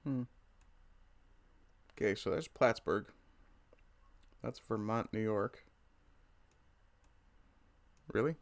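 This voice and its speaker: A man's voice: fairly low pitch, drawn-out words, long pauses, dry recording. A man hosting a casual livestream, bluntly commenting on news and reading chat, often swearing as he rants. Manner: blunt, profane, ranting.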